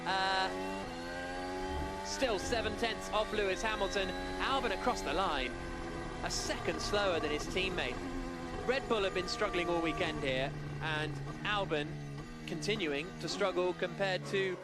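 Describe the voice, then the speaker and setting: A man's voice: sits high, measured pace, over loud music, rough recording. A man giving live motor racing commentary, with race cars and track ambience behind him.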